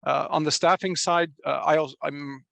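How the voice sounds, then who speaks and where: A man from Canada, speaking as a panelist in a measured way, with frequent fillers and restarts. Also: on the high side, fairly even intonation, vocal fry, fairly bright tone, no echo. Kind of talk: panel discussion.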